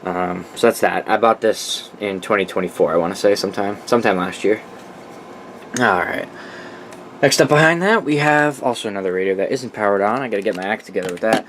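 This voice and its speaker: Man, lower-pitched, informal hobbyist walkthrough, blunt opinions and swearing, faint noise behind. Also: brisk, fairly bright tone. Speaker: radio collector presenting a collection. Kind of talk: casual unscripted product walkthrough.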